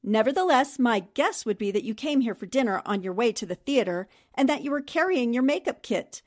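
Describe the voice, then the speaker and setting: A woman playing a high-voiced, shrewd newspaperwoman sleuth. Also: animated, a little fast. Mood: confident, methodical. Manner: precise, methodical explaining. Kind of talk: 1940s radio mystery acting.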